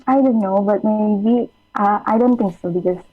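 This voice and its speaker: A woman's voice: medium pitch, moderate pace, breathy edge. A young woman with an Indonesian accent, a student answering radio interview questions hesitantly, her first language being Indonesian. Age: young adult. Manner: hesitant, many fillers. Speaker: student guest. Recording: tinny phone line, faint music.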